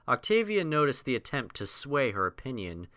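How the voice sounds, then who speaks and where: Bright, expressive man narrating a story. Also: medium pitch, moderate pace.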